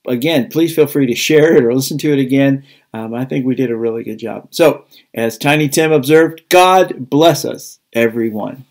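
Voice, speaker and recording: A man giving an informal, sincere sign-off as a school staff member, in a halting manner. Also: mid-range voice, darkish tone. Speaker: school faculty member closing the program.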